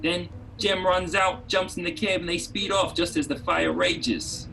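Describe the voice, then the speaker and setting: A pushy street vendor, played by a man with a fairly high voice and slangy wisecracks. He's a shifty radio-comedy hustler, music playing behind. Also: steady tone, a little slow, vocal fry, pushed and bright, full character voice. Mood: gossipy. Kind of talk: radio drama comedy acting.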